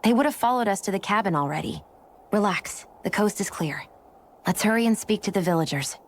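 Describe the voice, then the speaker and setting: Actress as cool, blunt outcast woman, medium pitch. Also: lively intonation, a little fast, a little hiss or hum, lightly acted. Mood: brusque. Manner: curt, brusque. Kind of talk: video game cutscene acting. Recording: music behind.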